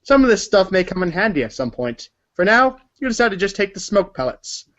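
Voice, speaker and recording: A man's voice: sits high, sing-song, fairly quick, a bit bright. A man reading scripted narration for a read-along story performance, in a dull recording.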